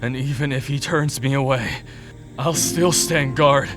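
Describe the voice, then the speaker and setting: Slow, pleading, tormented male fighter acted by a man. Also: mid-range voice, natural voice. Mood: anguished devotion. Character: Cain, tormented remorseful male fighter in love, self-loathing. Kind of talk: dramatic audio drama acting. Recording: music behind the voice.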